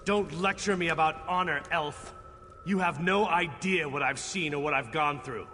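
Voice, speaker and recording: A man's voice: a little high, moderate pace, pushed and bright, slight background noise. A man playing a cocky, sardonic knight in a video game, with a flippant, teasing, wry way of talking. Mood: indignant. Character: cocky sardonic knight, male adventurer, jaded but charming. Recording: long hall reverb, music behind.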